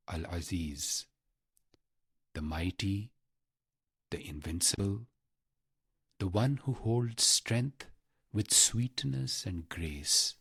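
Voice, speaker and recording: A man guiding a meditation in a measured, reverent manner, pausing deliberately between short phrases. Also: sits low, a bit bright, breathy edge, dry recording, clean studio sound. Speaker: meditation guide. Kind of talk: guided meditation narration.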